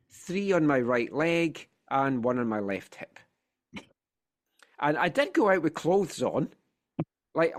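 A middle-aged man with a Scottish accent, hosting a casual football podcast, chatty and playful, sounding a bit congested from a sinus infection. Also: fairly high pitch. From Scotland. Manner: chatty, animated storytelling with playful asides. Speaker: podcast host.

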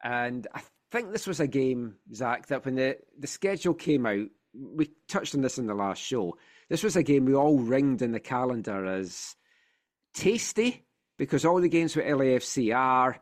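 Lively Scottish man hosting a podcast. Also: medium pitch, normal speed. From Scotland. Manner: chatty, animated storytelling with playful asides. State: congested from a sinus infection. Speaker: podcast host. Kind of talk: casual football podcast chat.

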